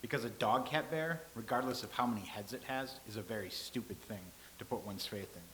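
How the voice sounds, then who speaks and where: A man reading the part of a calm, philosophical old-time scribe, speaking in a measured, lecturing way. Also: mid-range voice, a little hiss or hum, close to an everyday voice, low-quality recording. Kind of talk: staged reading.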